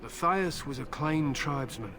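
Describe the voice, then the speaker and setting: A man's voice: fairly high pitch, lively intonation, normal speed, background noise, close to an everyday voice. An actor playing a stern male warrior in a video game, solemn and curt, speaking proudly of battle and his hounds. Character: stern male human warrior, grim hunter with war hounds. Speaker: game character. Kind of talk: voiced game dialogue. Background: loud game music.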